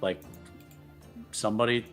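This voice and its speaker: A man's voice: fairly low pitch, steady tone, unhurried, fairly bright tone, faint music behind. A man playing a tabletop roleplaying game, moving between casual talk and speaking in character.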